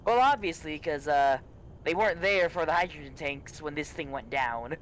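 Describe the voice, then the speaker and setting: A man's voice: on the high side, moderate pace, a bit bright, slight background noise, rough recording. A man chatting in a dry, self-deprecating way as he plays a co-op game with friends. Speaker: co-player. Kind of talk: casual gaming chat.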